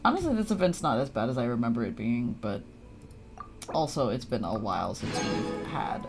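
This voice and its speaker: A woman's voice: extremely low pitch, very animated, moderate pace, gravelly, music in the background. A woman livestreaming a video game, chatting casually with viewers in a playful, exclamatory way and muttering to herself as she plays. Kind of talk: casual gaming chat with viewers, reacting to play.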